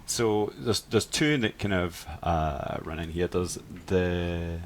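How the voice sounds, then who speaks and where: A man's voice: sits low, slow pace, slight background noise. A man hosting a casual live stream, reading out questions and addressing viewers. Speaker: livestream host.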